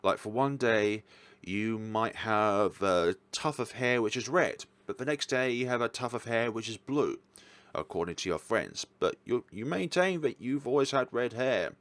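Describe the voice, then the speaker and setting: A man's voice: medium pitch, expressive, normal speed, fairly bright tone. A man hosting a casual, chatty ranking of games, rambling and correcting himself as he goes, with an enthusiastic, hesitant manner. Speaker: host reviewing visual novels.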